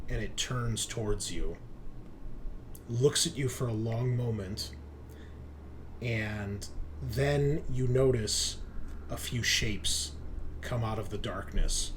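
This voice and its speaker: A man running a tabletop roleplaying game as game master, narrating in an improvised, deliberate way with pauses. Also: medium pitch, a little hiss or hum.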